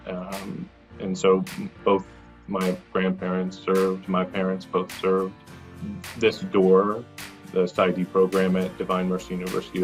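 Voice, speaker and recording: Deep flat-voiced man over soft guitar. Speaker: doctoral student. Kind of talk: recorded testimonial.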